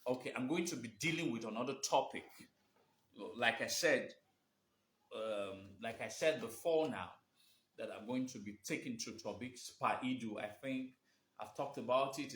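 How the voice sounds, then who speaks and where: A man's voice: medium pitch, fairly bright tone. A man hosting a live video from his phone, talking emphatically and often restarting his phrases. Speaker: livestream host. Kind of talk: live video talk answering viewer questions. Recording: echoey room, phone livestream.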